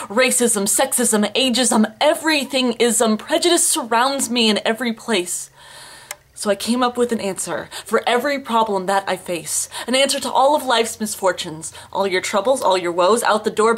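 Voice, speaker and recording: A woman in her twenties performing slam poetry with an earnest, emphatic, theatrical delivery in rhythmic rhyme. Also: a little high. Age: 20s. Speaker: slam poet.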